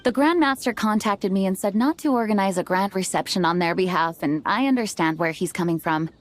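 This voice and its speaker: An actress playing an earnest, modest young knight commander in an anime game, speaking formally and politely. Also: female voice, mid-range voice, a little fast, close to an everyday voice. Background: background music.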